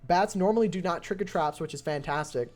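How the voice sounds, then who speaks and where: Young man, game caster, hoarse voice, excitable play-by-play, live stream. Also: on the high side, a little fast, soft background music. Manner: excitable play-by-play, rising to near shouts at close finishes. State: voice going hoarse.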